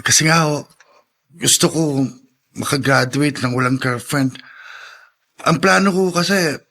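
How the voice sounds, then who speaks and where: Earnest young dude in a radio play, husky voice, polite and serious. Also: male voice, on the high side, a little slow, sharp tone, full character voice. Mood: sheepish. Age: young adult. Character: earnest young man, shy then grimly determined. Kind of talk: radio drama acting.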